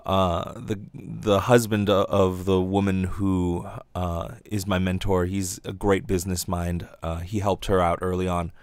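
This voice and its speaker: A man with a low voice talks off the cuff on his podcast, recorded dry with very little room sound. He rambles casually, doubling back on his words in stammered false starts, and swears freely. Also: little pitch movement, measured pace, few pauses, clear, resonant tone, no room sound. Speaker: podcast host and aspiring voice actor.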